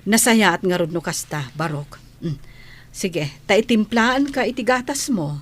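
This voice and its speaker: A woman's voice: mid-range voice, animated, unhurried. A woman with an Ilocano accent playing a doting, patient older nanny in a radio drama, tender and motherly.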